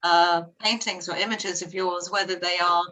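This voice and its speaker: A woman's voice: on the low side, steady tone, moderate pace, pushed and bright, recorded from a distance, low-quality recording. A New Zealand woman interviewing a guest, speaking admiringly with some fillers. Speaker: interviewer from a wellness centre. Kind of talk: casual interview.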